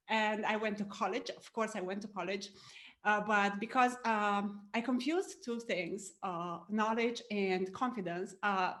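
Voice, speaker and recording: A middle-aged woman from Romania with a Romanian accent, a business coach telling her story in a podcast interview with many "uh"s and small laughs. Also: a little high. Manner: frequent "uh" and "um", laughs often.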